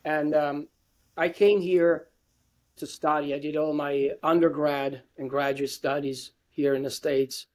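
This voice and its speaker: An Italian man with an Italian accent speaks as a lecturer being interviewed over a video call. His voice sits a little high for a man, and he answers in a conversational style. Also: little pitch movement, unhurried. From Italy. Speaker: interview guest, university lecturer. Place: indoor room with bookshelves, video call.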